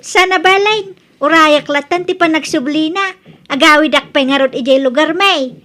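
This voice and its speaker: A woman's voice: high-pitched, moderate pace, natural voice. A woman with an Ilocano accent playing a polite, chatty older auntie visiting in a radio comedy drama. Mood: polite, hopeful.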